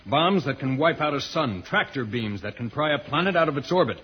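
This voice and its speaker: A man's voice: mid-range voice, expressive, brisk, close to an everyday voice. An actor playing a grave, urgent, heroic alien spaceman in an old-time radio serial. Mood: grave concern. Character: earnest, heroic alien spaceman, puzzled by Earth slang. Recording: noisy, muffled old radio recording.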